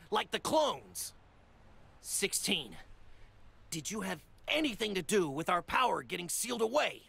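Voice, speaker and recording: A woman's voice: mid-range voice, creaky, pushed and bright, slightly rough, full character voice. A woman voicing a small, earnest, hot-headed male martial artist in an anime game, with emphatic, exclamatory delivery. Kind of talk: anime game dub acting.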